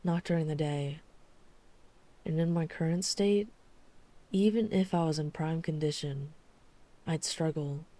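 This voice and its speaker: Slow, dark-toned tormented vampire huntress, acted by a woman. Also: deep voice. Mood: grave, tense. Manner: slow, halting, dramatic pauses, breathy sighs. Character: tormented female vampire hunter, guilt-ridden, growing dark and savage. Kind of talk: intimate ASMR roleplay audio drama.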